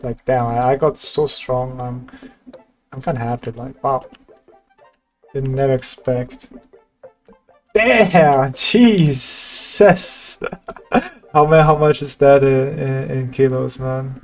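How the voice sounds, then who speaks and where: A man's voice: mid-range voice, takes it slowly, pauses often, darkish tone, faint music behind. A young man chatting casually with viewers on a stream, laid-back and laughing often. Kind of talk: casual livestream chat.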